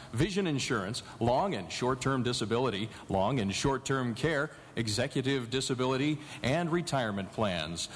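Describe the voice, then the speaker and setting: Animated male radio announcer in a hall. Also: medium pitch. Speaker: announcer reading sponsor spots. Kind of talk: scripted radio advertising read. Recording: live hall reverb. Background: music.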